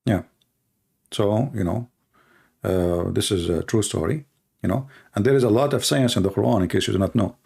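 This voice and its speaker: A man from the Middle East, a native Arabic speaker with an Arabic accent, hosting an informal live call-in show in a sarcastic, mocking manner with halting phrasing. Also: lowish voice, vocal fry, a little mellow, slightly rough. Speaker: host, religious apologist. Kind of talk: live call-in broadcast, rambling informal commentary.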